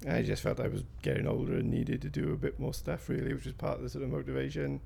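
A man's voice: mid-range voice, fast, gravelly, mellow, a little hiss or hum. A man in his fifties with a South Wales Valleys accent, answering interview questions in a hesitant, rambling way with fillers and restarts. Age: 50s. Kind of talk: recorded interview.

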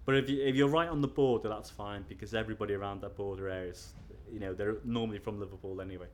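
A man's voice: on the low side, fairly even intonation, rapid-fire, a little mellow. A middle-aged man from North Wales with a Scouse accent, talking casually in an interview and rambling with lots of fillers and restarts.

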